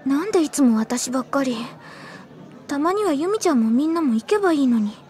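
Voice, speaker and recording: Very high, flustered schoolgirl. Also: animated, brisk, a little mellow, some chatter behind. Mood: glum. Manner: stammering, panicky, protesting. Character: timid, flustered, put-upon high school girl. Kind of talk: anime audio drama acting.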